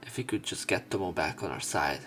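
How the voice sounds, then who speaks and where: A man's voice: low-pitched, lively intonation, a little fast. A man reading a visual novel aloud as a let's play host, with some commentary of his own and the odd stumble over words. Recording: faint music behind. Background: soft game music.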